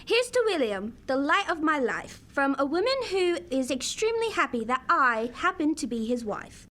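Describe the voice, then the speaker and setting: High-pitched, animated, doting wife played by a woman. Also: normal speed. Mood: affectionate, teasing. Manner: playful, doting banter. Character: affectionate, playful, witty wife of a college man. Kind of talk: radio comedy acting.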